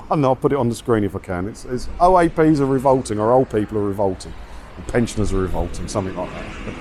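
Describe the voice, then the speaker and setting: A man's voice: medium pitch, darkish tone. A middle-aged man chatting informally and bluntly as he hosts a walking vlog. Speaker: video creator and host. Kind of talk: casual walking vlog, rambling chat to camera. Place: outdoors, walking around a seaside town. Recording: outdoor ambience with traffic and faint noise.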